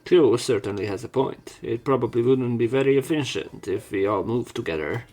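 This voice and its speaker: A man with a medium-pitched voice reads a visual novel aloud for a let's play. His delivery is casual and irreverent, given to sweary asides, with game music behind him. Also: normal speed, darkish tone.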